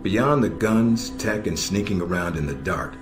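Low-pitched middle-aged American spy chief, stern, dry wit, measured, video game cutscene, echoey room. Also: male voice. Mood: probing, serious. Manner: measured, dryly sardonic. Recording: echoey, music underneath.

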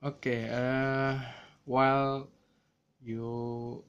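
A man from Indonesia with an Indonesian accent, talking through a software tutorial in a slow, hesitant way with many fillers, recorded on a smartphone. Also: mid-range voice, flat delivery, smooth, muffled recording. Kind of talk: screen-recorded coding tutorial. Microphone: smartphone camera filming screen.

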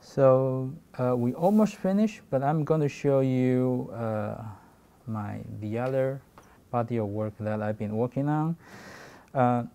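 A man in his forties from Taiwan with a Taiwanese accent, giving a halting talk about his work with many fillers and restarts. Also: medium pitch, mellow. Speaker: guest photographer. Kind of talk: presentation talk.

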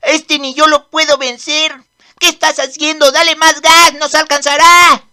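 A mischievous young demon prince from a cartoon dub, acted by a woman. The voice is high and bright, with an unhurried pace. He is brash, excitable and used to giving orders. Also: full character voice. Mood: urgent. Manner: brash, commanding. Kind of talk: amateur manga dub acting.

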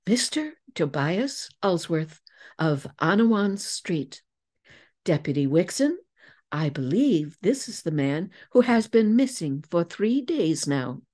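A woman's voice: lowish voice, very animated, slow, a little mellow, exaggerated voice. A woman voicing a proud, composed lady investigator in a storybook, speaking formally and with poise.

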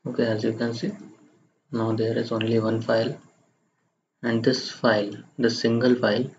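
A man narrating a step-by-step software screencast in a halting, plain-spoken way. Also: mid-range voice, little pitch movement. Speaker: tutorial presenter. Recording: muffled lo-fi microphone, faint background noise.

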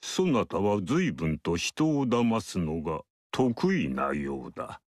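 An aloof male mystic sage, calm and superior,. He talks slowly and in a measured, lofty way, and his pitch swings widely over a lowish voice. The recording is dry and close. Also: no room sound, full character voice. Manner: measured, lofty archaic speech. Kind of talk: game character voice lines.

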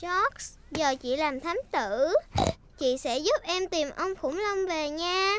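A woman's voice: very high-pitched, slow, fairly bright tone, full character voice. A woman voicing a cheerful, bossy little girl pig in a children's cartoon, eager and childlike. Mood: confident.

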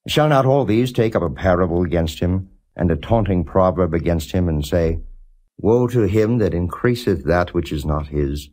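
Deep voiced guy reading bible verses like an audiobook, solemn and measured. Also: animated, a little mellow. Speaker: Bible reader, audiobook narrator. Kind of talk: non-dramatized scripture reading, scripted narration.

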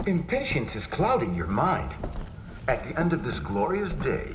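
A man's voice: mid-range voice, huge pitch swings, moderate pace. An actor playing a cryptic, fanatical male cult guide in a horror video game, solemn, imperious and formal in his riddles. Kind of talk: theatrical video game cutscene acting. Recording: echoey space with clear background noise.

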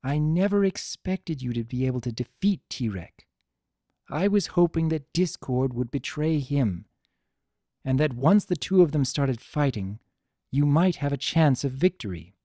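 A narrator voicing a regal, mature female pony ruler, calm, formal and firm. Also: medium pitch, measured pace, dry recording, clean studio sound, lightly acted. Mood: firm, grave.

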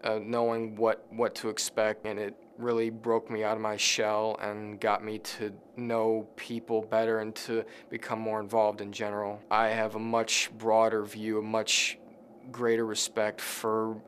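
A man's voice: lowish voice, completely flat delivery, a little slow, slight background noise. A young man from Illinois, a college student giving an interview testimonial. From Illinois, United States. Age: young adult.

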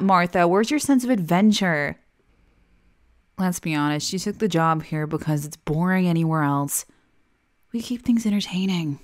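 A woman's voice: mid-range voice, lightly acted. A woman playing a remorseless, sarcastic criminally insane asylum patient in a dramatic audio roleplay, theatrical and taunting. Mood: bored, needling. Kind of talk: ASMR-style dramatic monologue roleplay.

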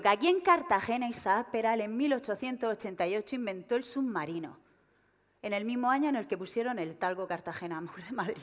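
A woman in her thirties with a Murcian Spanish accent, doing a stand-up comedy monologue and mocking her subjects in quick, animated asides. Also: a little high. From Region of Murcia, Spain. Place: large theater stage with live audience. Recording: long reverb of a large hall.

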